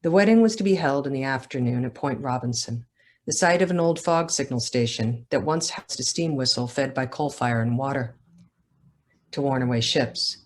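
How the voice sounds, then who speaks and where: Low-voiced woman author speaking. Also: normal speed, darkish tone. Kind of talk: casual talk, then literary reading aloud.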